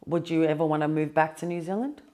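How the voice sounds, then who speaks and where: Give me a woman with a deep, warm voice asking short interview questions. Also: quick pace. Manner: brief, plain questioning.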